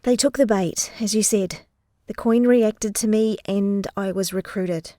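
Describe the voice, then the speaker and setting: A woman's voice: mid-range voice, measured pace, warm tone, dry recording, clean studio sound, close to an everyday voice. A woman playing an uneasy young student working as a secret infiltrator in an audio drama. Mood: guarded.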